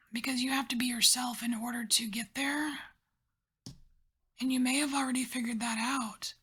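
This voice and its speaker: A woman giving a whispered tarot reading, chatty, rambling and encouraging, her voice breathy and hushed throughout. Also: fairly high pitch. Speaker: tarot reader.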